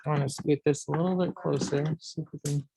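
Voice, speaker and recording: A soft-spoken class leader in a church meeting room, opening an informal class. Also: mid-range voice, steady tone, fast talker, creaky, background noise.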